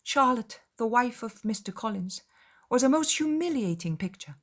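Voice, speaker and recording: A woman narrating a literary audiobook in a dramatized style, measured, with expressive emphasis. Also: a little high.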